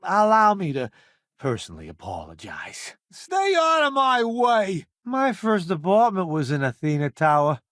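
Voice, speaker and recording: A man's voice: sits high, wildly swooping pitch, fairly bright tone, no echo, clean studio sound, exaggerated voice. A man playing a vain, flamboyant food critic turned ship's cook in a video game, with a wry, theatrical delivery. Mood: self-assured, wry, then urgent, combative. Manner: theatrical, wry, self-promoting, drawn-out emphatic delivery. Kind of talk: video game companion voice acting.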